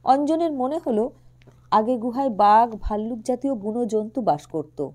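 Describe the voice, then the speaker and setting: A woman reading a literary romantic story aloud as narrator, in an expressive storytelling style. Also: on the high side, moderate pace.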